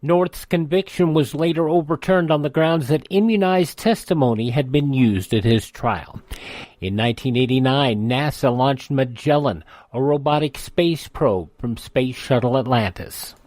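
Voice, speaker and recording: An American man reads scripted narration in the manner of a news segment. His voice sits at a medium pitch, and the sound is dry and close with no room on it. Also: moderate pace, a little mellow, no room sound. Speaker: radio history segment narrator.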